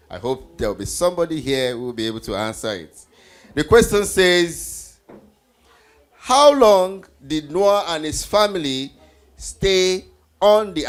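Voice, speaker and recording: An encouraging leader runs a live children's Bible quiz at a slow pace. The voice sits at a medium pitch, putting questions to the group and echoing the answers back. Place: live group event with children before a stage.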